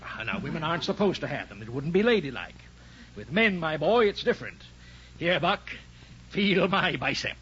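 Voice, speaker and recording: Blustering radio sitcom dad, very lively pitch. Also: male voice, normal speed. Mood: mock-important. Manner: stammering, mock-pompous. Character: hapless, blustering middle-aged suburban father doing housework. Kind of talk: 1940s radio sitcom acting. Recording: muffled, faintly noisy 1940s radio recording.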